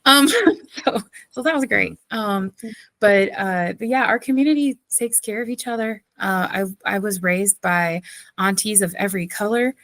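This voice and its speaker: A woman's voice: medium pitch, moderate pace, a bit bright, no echo. A woman pastor from southeastern North Carolina, telling stories as a guest in a casual interview with lots of fillers and frequent laughter. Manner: chatty, laughs often, many fillers.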